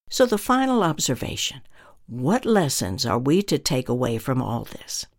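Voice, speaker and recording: Minister, medium pitch, scripted religious teaching, earnest, deliberate, emphatic phrasing.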